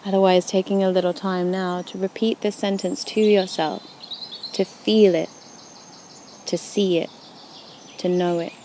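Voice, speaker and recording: A woman guiding a yoga nidra meditation in a soothing, unhurried way with gentle, deliberate phrasing, recorded outdoors. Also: mid-range voice, little pitch movement, a little hiss or hum. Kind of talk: guided meditation. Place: outdoors in a forest at dusk. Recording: outdoor recording with faint birdsong. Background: birdsong and forest ambience.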